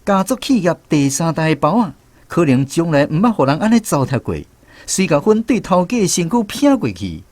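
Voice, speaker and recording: An actor narrating as a former conscript in a radio drama, telling scripted first-person memories with a Taiwanese accent. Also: male voice, on the high side, very animated, fairly quick, no echo. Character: wry former conscript narrating his island army memories. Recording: music bed under narration.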